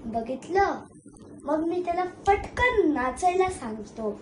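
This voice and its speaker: High-voiced child performing in an echoey room. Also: big pitch swings, takes it slowly, a little mellow. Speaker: competitor in a one-act play contest. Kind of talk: amateur theatrical monologue, addressing audience. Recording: echoey room with faint noise.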